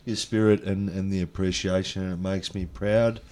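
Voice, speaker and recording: A man's voice: sits low, fairly even intonation, normal speed, a little mellow. A man hosting a radio interview, asking probing questions and openly emotional about his guest's story. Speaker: radio host.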